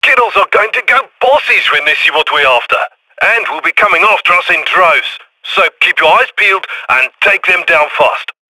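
A chirpy heist handler. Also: male voice, sits high, lively intonation, normal speed, pushed and bright, full character voice, poor audio. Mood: upbeat. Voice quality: chirpy, slangy, ends lines with "yeah?". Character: cheerful adult male heist handler on radio. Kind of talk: video game voice lines.

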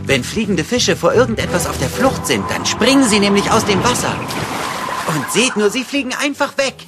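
An actor voicing a clumsy, excitable animal scientist in a children's cartoon audio drama, explaining things hesitantly. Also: male voice, high-pitched, moderate pace, loud music behind the voice. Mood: flustered.

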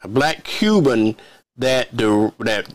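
An older man hosting a television interview over a video call, talking in a rambling, halting way with many restarts. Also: mid-range voice, expressive. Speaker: TV show host. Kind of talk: casual interview chat. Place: home office, indoors. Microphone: headset on video call.

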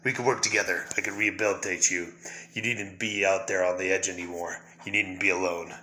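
A man's voice: sits low, fairly even intonation, brisk, sharp tone, poor audio. A man voicing a grim, weary masked vigilante in a stern, restrained way, as a fan reading of a comic. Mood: grave, pleading.

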